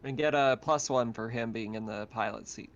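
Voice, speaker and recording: A man's voice: medium pitch, normal speed. A man running a tabletop game as game master, narrating casually over an online voice chat. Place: online voice call. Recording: dull, lo-fi voice chat.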